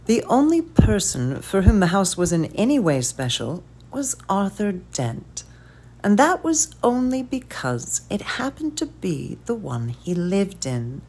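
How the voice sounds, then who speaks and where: A woman reading a novel aloud as narrator, measured and dropping softly at the ends of phrases. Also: medium pitch, sing-song, husky. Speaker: audiobook reader. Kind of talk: scripted narration.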